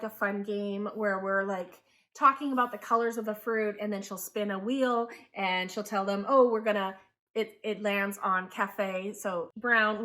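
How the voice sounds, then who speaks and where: A homeschooling mom in her thirties, raised in Taiwan, talking casually to her viewers. She rambles in a friendly way and often stops to correct herself. Her voice sits at a middle pitch for a woman. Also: sharp tone. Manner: chatty, corrects herself mid-sentence. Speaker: homeschooling parent and channel host. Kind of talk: casual talk to camera.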